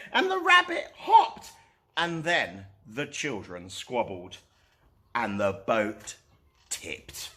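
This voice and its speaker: A man hosting storytime, reading aloud to children in an animated storytelling style. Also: a little high.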